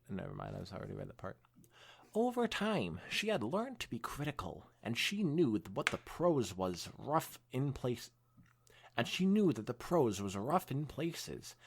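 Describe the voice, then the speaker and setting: A man reads aloud as a podcast co-host, with big swings in pitch and a husky edge to his voice. His delivery is expressive, now and then tripping on a word, and it slips easily into casual conversation. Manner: expressive reading, stumbling over words. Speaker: podcast co-host reading fan fiction. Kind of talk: dramatic read-aloud mixed with casual chat.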